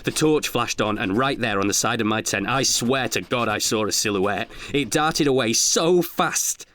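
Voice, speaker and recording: A man performing scripted narration of a comic horror tale as a dramatic storyteller, with hushed suspense and deliberate pauses. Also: medium pitch, slight background noise.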